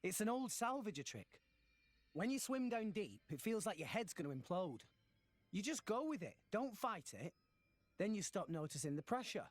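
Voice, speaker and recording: Earnest teenage boy hero for a game: high, animated, sincere. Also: moderate pace, no room sound. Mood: earnest advice. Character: earnest, good-natured teenage boy salvager. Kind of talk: video game cutscene acting.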